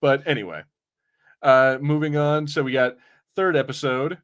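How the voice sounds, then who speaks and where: Informal male podcast host, medium pitch. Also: fairly even intonation, normal speed, a bit bright. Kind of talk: casual film and TV review chat.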